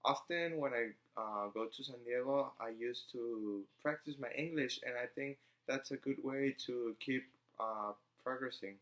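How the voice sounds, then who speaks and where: A young man speaks English, his tongue showing through. He talks at an unhurried, slow pace and often stops to search for words with ums. His voice sits at an ordinary middle pitch. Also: fairly bright tone, low-quality recording. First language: Spanish. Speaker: student vlogger. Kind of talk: casual personal vlog.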